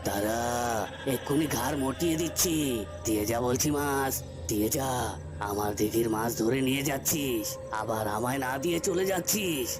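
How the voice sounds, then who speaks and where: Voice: medium pitch, lively intonation, normal speed, music in the background, lightly acted. A performer voicing a threatening, demanding ghost of a cursed pond in a cartoon horror story. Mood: menacing, angry.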